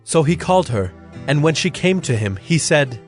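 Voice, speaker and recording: A man reading scripted Bible narration in a measured, solemn manner. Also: on the high side, big pitch swings, soft background music.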